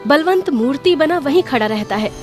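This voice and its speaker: A woman's voice: high voice, expressive, moderate pace. A woman reading scripted dramatic narration for a cartoon story. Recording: music under the voice.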